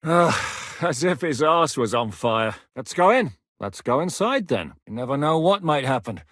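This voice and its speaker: An actor playing a hardened, foul-mouthed war captain in a video game, gruff and commanding. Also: male voice, a little high, lively intonation, moderate pace, fairly bright tone, no echo, clean studio sound. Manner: gruff, curses freely, barks orders. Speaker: military commander.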